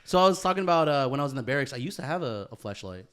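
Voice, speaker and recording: A male podcast host talks in a loose, slangy way with a middling pitch. He swears freely and doubles up short words, keeping the banter crude and comedic. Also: big pitch swings, racing, fairly bright tone, smooth. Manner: profane, slangy, repeats words like "yeah" and "right".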